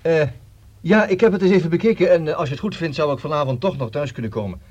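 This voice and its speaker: A gentle, uncertain man on a telephone in a radio play. His husky, fairly high voice comes through dull and lo-fi, pausing as he goes. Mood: tender, hesitant. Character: hesitant, tender man phoning his sweetheart or wife. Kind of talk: radio play acting, phone call.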